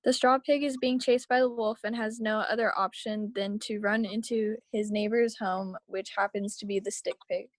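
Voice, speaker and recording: A woman's voice: mid-range voice, steady tone, normal speed, few pauses, no room sound. A woman reading scripted story action aloud at a table read over a video call. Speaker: reader of action lines. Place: remote video call, close dry sound.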